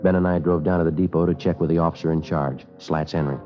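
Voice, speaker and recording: An actor playing a terse, level-headed police detective, narrating and talking in a clipped deadpan for a 1950s radio crime drama. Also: male voice, sits low, fast, lightly acted. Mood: deadpan, businesslike. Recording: dull vintage 1950s radio recording, music.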